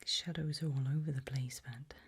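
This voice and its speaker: A woman whispering in a breathy, hushed and deliberate ASMR style, putting questions to the listener. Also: very deep voice, steady tone. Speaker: ASMR host.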